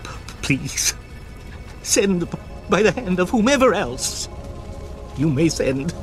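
Humble, reluctant man: highish, swooping, stammering nervously, Bible drama with music. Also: very animated, exaggerated voice. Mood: fearful, pleading. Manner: halting, stammering. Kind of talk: dramatized audio Bible acting. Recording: music behind the voice.

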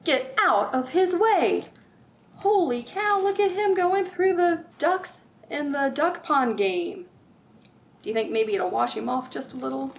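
A middle-aged woman reading aloud at a children's storytime, expressive and sing-song, drawing out rhymes and putting questions to young listeners. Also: high voice, a little hiss or hum. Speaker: children's librarian, storytime host. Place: library room with bookshelves, indoors.

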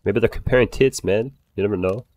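A man's voice: on the low side, fast, darkish tone. A man role-playing in casual improvised chat and storytelling, rambling and swearing freely as he goes. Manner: profane, rambling. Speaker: role-play gamer telling a story in character.

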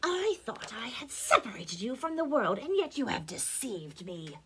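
A woman voicing a wicked, raging witch in a storybook, with mocking, drawn-out cries. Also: on the high side, very animated, bright, forceful tone, a little hiss or hum, voice pushed up, heavily acted. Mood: enraged. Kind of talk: storybook character voice.

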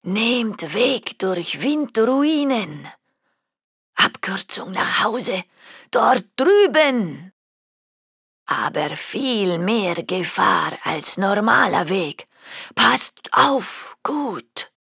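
An old village chieftess from a video game, speaks very slowly in a husky, aged voice. She is wise and solemn, telling things in short broken phrases with an ominous air. The recording is close and dry. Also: medium pitch, big pitch swings, a bit bright, no room sound, exaggerated voice. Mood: warning, urgent. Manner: slow, broken clipped phrasing, ominous storyteller. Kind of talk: video game character acting.

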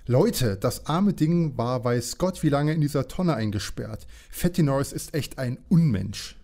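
A man's voice: mid-range voice, expressive, a little slow, warm tone. A man playing a good-natured, slightly naive young detective in an audio drama parody. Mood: earnest.

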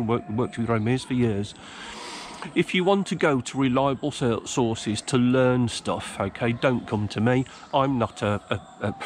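A man's voice: mid-range voice, slight background noise. An older man talking casually to camera in a woodland, rambling with many ums and restarts. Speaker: outdoors vlogger. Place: outdoors in a woodland. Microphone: camera a few feet away.

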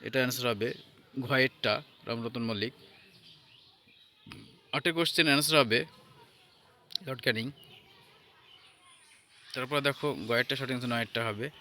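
A man giving an informal lesson as an exam tutor, halting and repeating himself as he checks in with listeners. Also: medium pitch, bright, forceful tone. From India. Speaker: exam tutor presenting answer keys.

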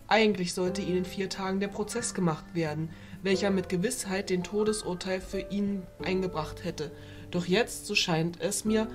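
A woman playing a regal, stern fairy who leads a council, speaking in formal, measured phrases in a fantasy audio drama. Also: on the low side, a little mellow, natural voice. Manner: formal, measured, stately speech.

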